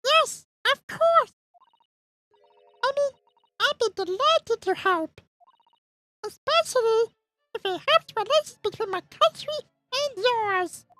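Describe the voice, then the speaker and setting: Squeaky, haughty little gentlewoman. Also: animated, takes it slowly, long pauses, faint music behind, full character voice. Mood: gracious. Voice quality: squeaky, cartoonish. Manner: prim, formal, condescending. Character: haughty condescending young English gentlewoman, squeaky puppet-like voice. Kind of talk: dramatic read of a video game script.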